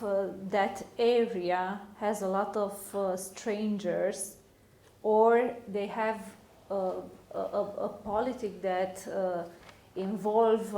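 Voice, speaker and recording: A woman speaking from the floor of a lecture, halting and full of fillers. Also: mid-range voice, dark tone. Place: lecture hall. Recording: echoey hall.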